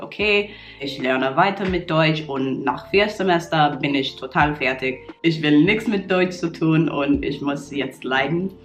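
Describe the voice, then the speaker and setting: Slow, unhurried American woman speaking German. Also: deep voice. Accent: American English. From New York. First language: English. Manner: learner's German with small slips and fillers. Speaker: vlogger. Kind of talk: casual talk to camera. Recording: roomy echo, soft guitar music.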